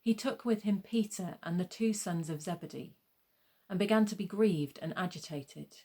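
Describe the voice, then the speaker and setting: A woman's voice: lowish voice, normal speed, dark tone. A woman narrating a gospel passage as a scripted scripture reading.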